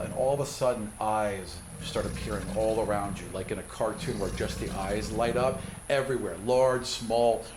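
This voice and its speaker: Man narrating a tabletop game, roomy sound. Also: fairly low pitch. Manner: dramatic storytelling with spoken sound effects. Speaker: game master. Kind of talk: narrating a tabletop game, improvised scene description. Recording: roomy, with clear background noise.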